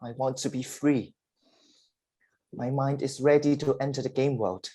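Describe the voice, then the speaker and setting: A man performs a dying father in a play reading. His voice is husky and mid-pitched, and he takes his time over each phrase. He sounds weary and earnest, pleading to be understood. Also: fairly even intonation, warm tone, natural voice. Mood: anguished. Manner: slow, deliberate, emotive delivery.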